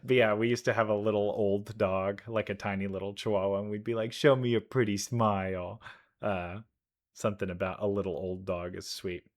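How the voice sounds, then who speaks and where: A man giving casual reaction commentary, rambling and thinking out loud as he goes. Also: on the low side, flat delivery, moderate pace. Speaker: reaction video host. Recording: game music playing behind. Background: game trailer music.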